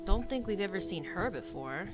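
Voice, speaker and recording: A woman's voice: medium pitch, expressive, moderate pace, over loud music. A woman streaming a video game, giving casual reaction commentary as the story plays.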